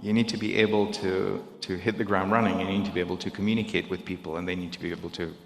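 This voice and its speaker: A middle-aged man whose native language is Russian, speaking earnestly and haltingly to a congregation, searching for his words. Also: low voice, flat delivery. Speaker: guest speaker at a service. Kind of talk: reflective talk to a congregation. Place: synagogue sanctuary. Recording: echoey hall, long reverb.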